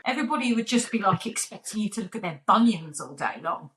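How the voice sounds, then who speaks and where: A woman's voice: medium pitch, expressive, fast talker, fairly bright tone, slight background noise, low-quality recording. A woman, a guest on a casual podcast, chatting with dry, wry humor. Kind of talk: casual chat.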